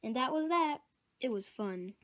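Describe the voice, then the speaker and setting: A man voicing a confident older boy bear cub in a storybook reading, talking quickly and knowingly. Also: very high-pitched, animated, a bit bright, pitched above the performer's own voice, full character voice. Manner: quick, know-it-all.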